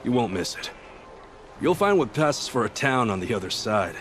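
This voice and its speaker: An actor playing a gruff frontier man in a video game, giving wry, cautionary advice to a traveler. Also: medium pitch, big pitch swings, moderate pace, fairly bright tone, slight background noise. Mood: wry, warning. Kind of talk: dramatic game dialogue.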